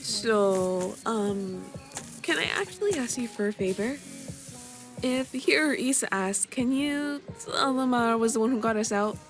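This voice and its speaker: A woman acts out a sweet young employer who gets flustered and stumbles over her words. She sounds sheepish and keen to please, and her voice sits a little high. It is a scripted roleplay spoken straight to the listener. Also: unhurried, faint music behind. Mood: relieved. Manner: stammering, sheepish and eager to please. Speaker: virtual streamer voicing her persona.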